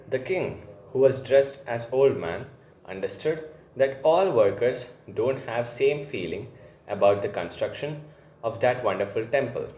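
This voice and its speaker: A man narrating a scripted moral story in a simple, deliberate, instructive manner. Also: mid-range voice, a little mellow, a little hiss or hum. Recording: roomy, echoing voice recording.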